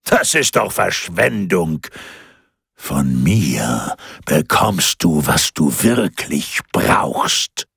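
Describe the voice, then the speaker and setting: A man doing a booming, menacing forest giant. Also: very animated, creaky, fairly bright tone, breathy edge, no echo, studio quality, heavily acted. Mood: coaxing. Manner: deep, rumbling, slow and threatening.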